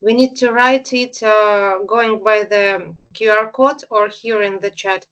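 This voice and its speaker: A woman's voice: mid-range voice, steady tone, slow, a bit bright. A woman taking part in an online webinar, giving brief, hesitant replies and questions over a video call. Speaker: webinar participant. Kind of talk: casual remarks on a call.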